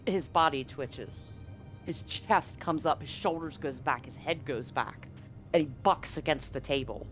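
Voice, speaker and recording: A man's voice: on the high side, unhurried, a bit bright. A man narrating a live tabletop game as game master, conversational and explanatory. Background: background music.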